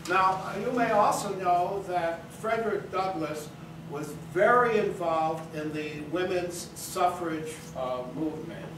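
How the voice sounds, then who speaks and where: A woman's voice: fairly low pitch, animated, slow pace. A woman presenting a live event, introducing it informally to an audience. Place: small theater, live event. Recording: echoey theater, distant mic, noisy.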